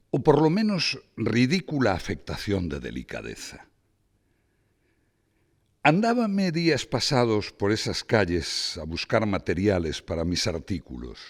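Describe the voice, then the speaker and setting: A man giving a theatrical reading of a satirical essay, with expressive, dramatic phrasing and ironic asides. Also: on the low side. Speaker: reader of a literary essay.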